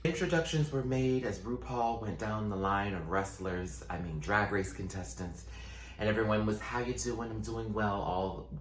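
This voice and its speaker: A man chatting sassily through a casual recap, his voice still low and groggy from just waking up. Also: roomy sound, slight background noise, poor audio. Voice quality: voice sitting lower than his normal. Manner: sassy, playful, quick asides. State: just woke up, morning voice. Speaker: vlogger and show recapper.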